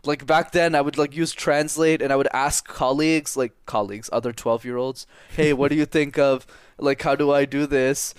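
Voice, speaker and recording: A man's voice: on the high side, fairly even intonation, normal speed, hardly any pauses, clear, resonant tone, no echo. A young man from Montreal, a public speaking coach, talking energetically and directly in an interview, often repeating words for emphasis. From Montreal, Canada. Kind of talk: casual interview talk.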